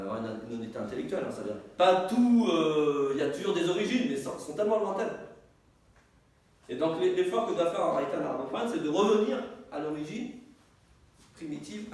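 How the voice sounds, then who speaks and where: A man's voice: a little high, a little mellow. Teacher, a man whose first language is Arabic, in an echoey room. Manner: repeats words while searching, asks and answers his own questions. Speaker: teacher, institute director. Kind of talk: informal teaching discussion with a group. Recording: echoey room, distant mic, faint noise.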